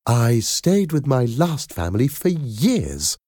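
An enthusiastic older nanny lady, acted out by a man for a storybook. The voice is pitched high and swoops widely, warm and effusive, full of fond endearments. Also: wildly swooping pitch, slow, no room sound, clean studio sound, full character voice. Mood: friendly.

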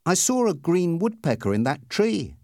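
A man's voice: on the high side, very animated, normal speed, darkish tone, dry recording, studio quality, pitched above the performer's own voice. A man voicing a careful, reasoning boy who protests against bullies in an audiobook story. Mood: calm.

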